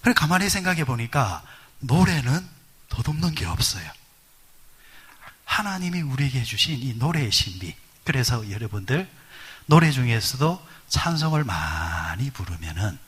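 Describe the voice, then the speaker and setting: A middle-aged male pastor delivers a conversational sermon with a husky, slightly breathy voice. His pitch swings widely as he asks the congregation questions and leans on fillers. His voice sits on the low side. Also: fairly bright tone. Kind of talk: sermon at midweek prayer service.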